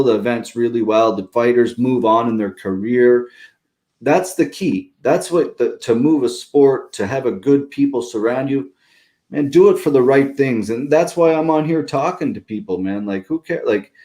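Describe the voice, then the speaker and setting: A man's voice: medium pitch, mellow. A man talking earnestly in a casual podcast chat, often calling the other speaker "man".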